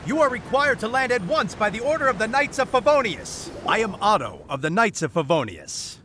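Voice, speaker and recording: A man's voice: high voice, sing-song, fairly quick, noisy background. A man voicing a stern, officious knight in an anime video game, formal and commanding. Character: stern, officious male knight making an arrest.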